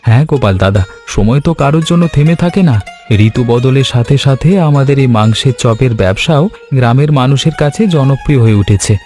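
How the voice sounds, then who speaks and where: A man's voice: mid-range voice, fairly quick, darkish tone, faint music behind, close to an everyday voice. A man voicing an agreeable, reassuring village friend in a Bengali cartoon story, speaking earnestly. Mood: agreeable, content. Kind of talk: Bengali animated moral story dialogue.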